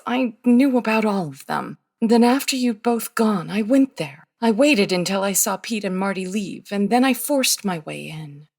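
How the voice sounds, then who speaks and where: A nervous woman suspect played by an actress in a vintage-style radio crime drama. Her mid-range voice rises and falls dramatically, halting and pleading as someone cornered. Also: mid-range voice. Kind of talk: old-time radio crime drama acting.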